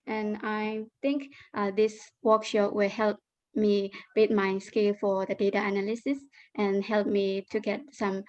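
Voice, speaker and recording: A woman from Myanmar who works at a research institute, introducing herself softly and briefly. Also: mid-range voice, no room sound, lo-fi sound. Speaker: research institute worker, participant.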